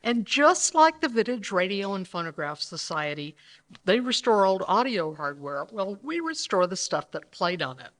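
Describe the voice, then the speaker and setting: A woman with a medium-pitched voice speaks as co-presenter at a live stage event. Her delivery is semi-scripted yet conversational, explaining things to the audience. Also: very animated, moderate pace, fairly bright tone, poor audio. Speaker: co-presenter of a radio re-creation troupe.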